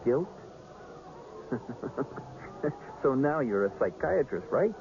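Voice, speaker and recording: An actor playing an obsessive, defensive carnival worker in a radio drama, with a curt, cocky manner. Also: male voice, medium pitch, very animated, takes it slowly, vocal fry, heavily acted. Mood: defensive, scornful. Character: obsessive, defensive carnival ride worker turned brooding mystic. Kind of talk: 1980s radio drama acting. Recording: muffled, noisy old radio broadcast.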